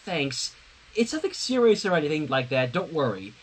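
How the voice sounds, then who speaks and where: A man's voice: fairly high pitch, big pitch swings, normal speed. A man voicing a friendly young princess in human form in a fan fiction reading. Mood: apologetic, casual.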